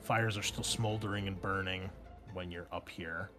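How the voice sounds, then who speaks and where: A man with a fairly low voice runs a tabletop roleplaying session as game master. He improvises his narration in a halting, conversational manner. Faint music plays behind him. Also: fairly even intonation. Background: background music.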